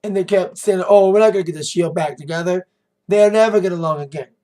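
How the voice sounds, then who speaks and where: An American man with a slightly hoarse voice, giving a rambling, informal and emphatic recap as a wrestling review vlogger. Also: sits high, fast talker, warm tone. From United States. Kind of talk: casual recap commentary to camera.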